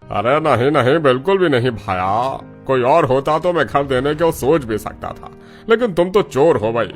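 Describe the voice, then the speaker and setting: A man's voice: medium pitch, lively intonation, normal speed, close to an everyday voice. Guy doing snooty suspicious landlord in a cartoon story, music playing behind. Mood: scornful, refusing. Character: suspicious, scornful landlord and shopkeeper refusing a tenant. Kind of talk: animated Hindi family story acting.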